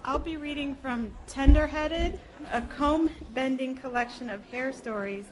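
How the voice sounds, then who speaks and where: A woman's voice: sits high, measured pace, heavy background noise. A woman reading short tales and definitions aloud to an audience at a live library program. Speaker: reader at a public library program.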